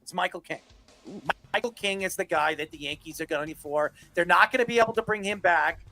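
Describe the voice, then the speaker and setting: A man's voice: sits high, brisk, pushed and bright, soft background music. A man on a sports talk panel, arguing casually in an insistent, emphatic way and repeating his points.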